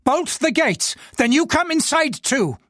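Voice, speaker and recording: An audiobook narrator voicing a furious middle-aged male tycoon who shouts and snaps orders at his household. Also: high-pitched, sing-song, measured pace, no echo, studio quality, voice pushed up, full character voice. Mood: enraged. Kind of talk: audiobook character voice.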